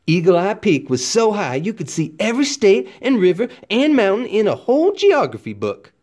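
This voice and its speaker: A man reads a children's tall tale in a fairly high, very lively voice that rises and dips freely. His delivery is folksy and expressive, leaning on key words for dramatic effect as a classroom read-aloud narrator would. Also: normal speed. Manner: animated, folksy storytelling with dramatic emphasis.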